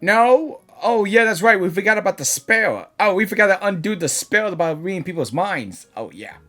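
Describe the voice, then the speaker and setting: A woman's voice: medium pitch, lively intonation, moderate pace, fairly bright tone. A woman streamer giving casual live reactions as she plays a game. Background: game music.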